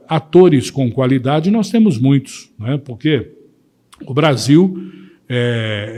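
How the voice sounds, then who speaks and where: A Brazilian man presenting to viewers, deliberate and emphatic, posing rhetorical questions and giving his views. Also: medium pitch, a little mellow. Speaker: presenter and voice-over teacher. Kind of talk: talking to viewers, opinion commentary.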